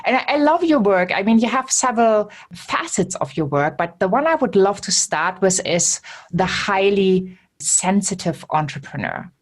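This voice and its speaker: A woman's voice: medium pitch, sing-song, moderate pace. A German woman with a German accent hosting a podcast interview, enthusiastic, laughing often and repeating words as she starts sentences. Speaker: podcast host. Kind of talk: podcast interview and sponsor read.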